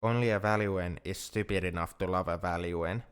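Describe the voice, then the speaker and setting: A man acts out a self-doubting alien friend in an intimate ASMR roleplay monologue. His voice is deep and clean, with a resonant smoothness. He speaks earnestly in careful, formal wording that halts as he goes, anxious and lovesick. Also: fairly even intonation, moderate pace, lightly acted. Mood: bitter, pained.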